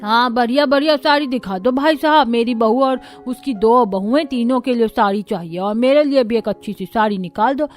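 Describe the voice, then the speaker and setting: A woman's voice: on the high side, normal speed, hardly any pauses, faint music behind, close to an everyday voice. A woman voicing a homely, bossy elderly grandmother in a Hindi cartoon family story. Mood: requesting. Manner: homely, instructing.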